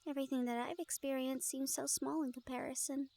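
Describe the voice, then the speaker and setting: A woman's voice: high voice, level tone, a little fast, creaky, warm tone, no echo. A woman acting in an intimate audio roleplay as an anxious, tender young woman from a family of hunters, speaking earnestly and hesitantly. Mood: wistful, self-effacing.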